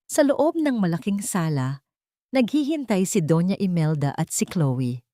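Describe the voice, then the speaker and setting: Woman narrating a dramatic audio story, voice a bit low, very dry recording. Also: mellow, dry recording.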